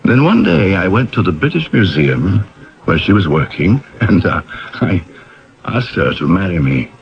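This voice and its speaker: An actor playing a middle-aged writer in a 1970s radio drama, theatrical, bitter and sardonic, fond of grand pronouncements. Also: male voice, deep voice, big pitch swings, measured pace, full character voice. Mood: reminiscing. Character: brooding, grandiloquent male author, bitter and sardonic. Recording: dull lo-fi 1970s radio broadcast.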